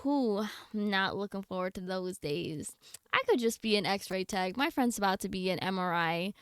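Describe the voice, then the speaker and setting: A woman's voice: mid-range voice, lively intonation, normal speed, fairly bright tone, clear, resonant tone, no echo, studio quality. A young adult woman livestreamer chatting casually with her viewers in a slangy, blunt, candid way. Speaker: game livestreamer.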